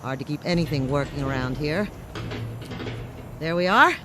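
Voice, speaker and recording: An actor playing a chatty, eager-to-please colony operator in a radio drama, folksy and apologetic. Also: male voice, a little high, expressive, normal speed, background noise, lightly acted. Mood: nervously obliging.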